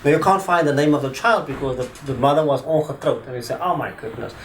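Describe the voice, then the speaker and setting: A man's voice: a little high, fast talker, slight background noise. A man in his fifties with a South African accent, talking in a loose, conversational way with many fillers. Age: 50s. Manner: conversational, rambling, full of fillers like "sort of". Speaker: interviewee, attorney. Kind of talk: casual oral-history interview.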